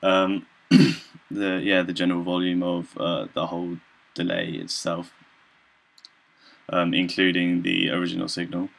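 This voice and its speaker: A young man talks through an informal software demo in a low, gravelly voice. He is hesitant, often filling gaps with ums and clearing his throat as he works out what to say next. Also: monotone, unhurried. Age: young adult. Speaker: student presenter.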